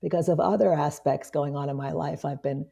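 Hoarse, low-voiced woman in her fifties. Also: steady tone, fairly quick. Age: 50s. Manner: rambling, warm laughs, many restarts. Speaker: guest, online marketing strategist. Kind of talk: casual interview chat.

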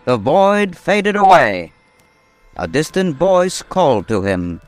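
Dramatic old time radio narration, grave, higher pitched, music behind him. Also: male voice, very animated. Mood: reflective. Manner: dramatic, descriptive, deliberate phrasing. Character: grave storyteller narrating an epic radio mystery.

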